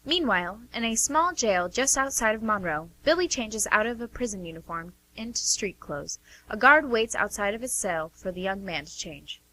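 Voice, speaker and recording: A woman's voice: mid-range voice, expressive, normal speed, pushed and bright, rough recording. A woman reading scripted stage-setting narration for a radio play.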